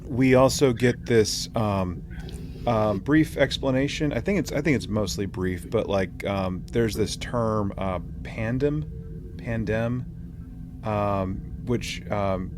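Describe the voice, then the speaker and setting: Halting, low-voiced man podcasting. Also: background noise. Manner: halting, tentative explanations. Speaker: podcast co-host. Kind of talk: casual podcast discussion.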